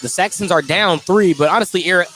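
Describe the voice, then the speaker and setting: High-pitched male basketball play-by-play announcer. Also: fast, fairly bright tone, soft background music. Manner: clipped running descriptions of the action. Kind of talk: live basketball play-by-play commentary. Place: at a basketball game in a gym.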